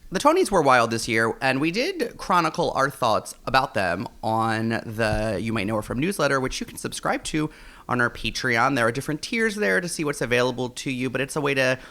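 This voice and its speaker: A man co-hosts a podcast in a casual, chatty style. His voice sits at an average pitch and has a very bright, forceful edge. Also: big pitch swings, a little fast. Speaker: podcast co-host.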